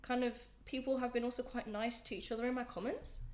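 A woman's voice: fairly high pitch, fairly even intonation, fast talker, echoey room. A young woman in her twenties, chatting as a podcast guest, a bit congested from a flu, rambling and self-deprecating. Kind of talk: casual chat.

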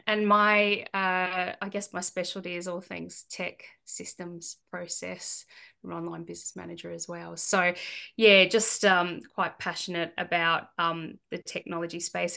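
Aussie lady in her 40s, kinda low but bright sound, relaxed and a bit hesitant. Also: creaky. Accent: Australian. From Australia. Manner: relaxed, halting, many ums. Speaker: guest, online business manager. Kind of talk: casual conversation.

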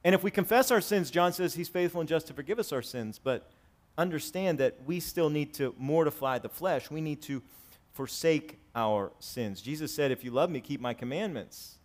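A man in his forties preaching a sermon to his congregation, swinging between raised, emphatic lines and quiet, conversational asides. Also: fairly high pitch, lively intonation, normal speed. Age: 40s. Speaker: pastor. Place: church sanctuary with congregation.

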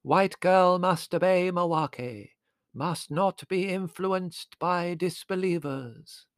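A pompous séance medium acted out by a male narrator, raised high in pitch and solemnly theatrical. She intones with a put-on Indian accent and drawn-out, incantatory words, as in a storybook. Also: no echo, clean studio sound. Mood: solemn trance.

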